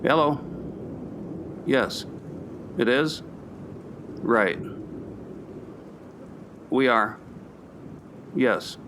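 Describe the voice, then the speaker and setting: A man playing a sympathetic, level-headed professor in a naturalistic radio play, speaking in a measured, reassuring way. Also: mid-range voice, fairly bright tone, slight background noise, exaggerated voice. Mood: businesslike. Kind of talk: naturalistic radio drama dialogue.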